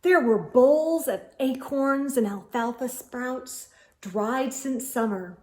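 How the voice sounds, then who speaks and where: A woman reading a children's storybook aloud as host, in an expressive storytelling manner. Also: high voice, takes it slowly.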